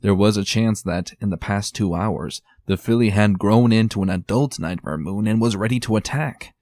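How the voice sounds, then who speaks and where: A man narrating a dramatic reading of a fan story in a measured, steady storytelling manner. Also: lowish voice, no echo, clean studio sound.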